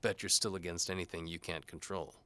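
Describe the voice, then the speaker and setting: A man's voice: low-pitched, fairly even intonation, brisk, sharp tone. A man playing a cynical, sarcastic down-on-his-luck mercenary bowman who tells his own story in a wry, noir audio drama style. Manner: sardonic, wry. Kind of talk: first-person noir audio drama narration.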